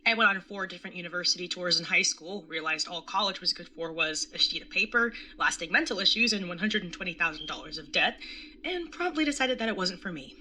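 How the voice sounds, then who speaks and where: A woman's voice: fairly low pitch, expressive, fast talker, pushed and bright, slight background noise. A woman playing a wry, jaded young artist bartender in an audio drama, sardonic and casually sweary as narrator and older sibling. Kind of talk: first-person audio drama narration and dialogue.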